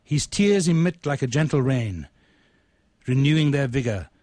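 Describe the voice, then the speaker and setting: A man with a mid-pitched voice speaks as a poet and radio host. His delivery has a theatrical, storyteller's streak. The sound is dry, with very little room around him. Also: expressive, normal speed, a little mellow, no echo. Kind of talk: casual reminiscing and dramatic poetry recital.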